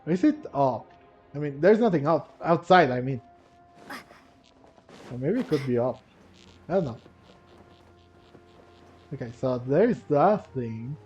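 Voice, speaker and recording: Unhurried, soft-toned man playing a game. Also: mid-range voice, lively intonation, slightly rough, muffled recording. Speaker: gaming video creator, player. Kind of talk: casual game playthrough commentary, reading dialogue aloud. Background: game music.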